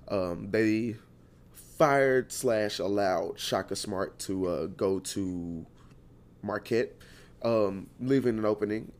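A podcast host, slightly hoarse, talking in an animated, joking way. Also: male voice, low voice. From Houston, Texas. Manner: animated, laughing storyteller. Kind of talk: casual chat.

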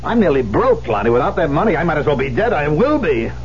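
An actor playing a bitter, brooding middle-aged concert pianist in a 1970s radio drama, sardonic and volatile. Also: male voice, a little high, big pitch swings, fast. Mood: agitated, despairing. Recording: dull vintage radio recording, faint hum.

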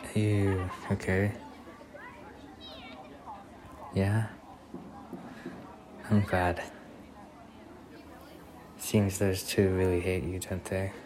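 Casting: a man as protective, popular young boyfriend for ASMR roleplay; very deep, soft-spoken, intimate delivery; noisy ambient recording. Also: little pitch movement, slow pace, pauses often, crowd noise. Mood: reassuring.

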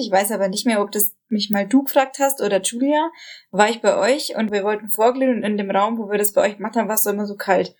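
A young woman chatting casually as a podcast co-host, giggly and fond of telling anecdotes. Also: mid-range voice. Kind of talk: casual chat.

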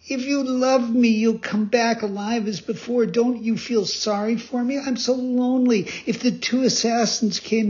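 A man acts out a little wooden puppet boy from a storybook. The voice is pitched far up and boyish, full of anguished, imploring earnestness. The recording is somewhat dull and lo-fi. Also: darkish tone. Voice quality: high, boyish put-on voice. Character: Pinocchio, young wooden boy marionette, anguished, pleading, excitable.

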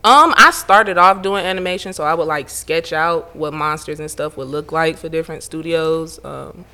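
Bright-voiced Atlanta woman, blunt. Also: sits low. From Atlanta, Georgia. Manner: blunt, laughing, speaks in quick bursts. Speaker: podcast guest. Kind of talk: casual chat.

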